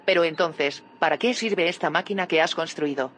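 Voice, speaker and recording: Earnest, probing sci-fi heroine. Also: female voice, sits low, normal speed, fairly bright tone. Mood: concerned. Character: earnest, probing woman confronting a colleague. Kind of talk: audio fiction drama acting.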